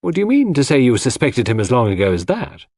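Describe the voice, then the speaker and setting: A man voicing a puzzled, impatient English gentleman who grumbles and questions his detective friend in an audiobook. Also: mid-range voice, sing-song, fast, dry recording. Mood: grumbling, impatient.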